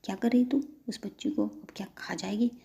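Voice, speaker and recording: A woman voicing a playful old grandmother who teases her granddaughter, speaking softly and half-whispered. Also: medium pitch, sing-song, fairly quick, gravelly. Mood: teasing.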